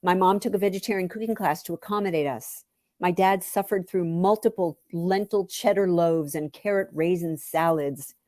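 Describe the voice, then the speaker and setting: Expressive low-voiced woman reading her story. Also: dry recording, clean studio sound. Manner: wry, deliberate, dramatic phrasing. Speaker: author reading own memoir story.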